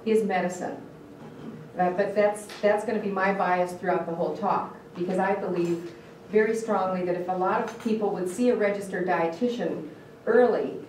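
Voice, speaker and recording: A woman's voice: medium pitch, a little mellow, a little hiss or hum. A dietitian from Michigan, with a Michigan accent, gives an earnest talk and puts questions to a live audience, often restarting her words. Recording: echoey room picked up from a distance.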